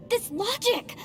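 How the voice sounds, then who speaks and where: A woman's voice: extremely high pitch, exaggerated voice. An actress voicing an earnest, devout and stubborn young woman in a video game, emotional and dramatic. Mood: upset, defiant. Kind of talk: scripted video game voice acting.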